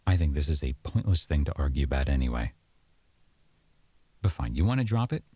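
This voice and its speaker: Guy playing a pissed off sarcastic boyfriend in a roleplay fight, super deep voice, close dry sound. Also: big pitch swings, fairly quick, mellow, breathy edge, no echo, heavily acted. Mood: defiant, bitter. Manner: accusatory, exasperated, scornful. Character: aggrieved, sarcastic boyfriend in a heated domestic argument. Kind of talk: boyfriend ASMR audio roleplay.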